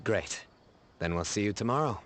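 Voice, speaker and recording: A man voicing a serious, stoic young man in a video game, with a formal, deliberate, deadpan delivery. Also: lowish voice, a little hiss or hum. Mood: calm, welcoming. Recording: faint music behind the voice.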